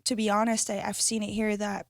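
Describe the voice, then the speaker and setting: A young woman from North Africa answering interview questions thoughtfully, pausing and searching for her words. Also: medium pitch, flat delivery, dry recording, clean studio sound. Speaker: guest, immigrant rights advocate. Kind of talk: reflective conversational interview answers.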